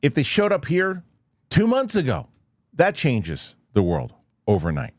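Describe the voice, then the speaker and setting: A man hosting a casual news commentary show, thinking aloud in a halting, skeptical way and posing rhetorical questions. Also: on the high side, huge pitch swings, gravelly, no echo, clean studio sound.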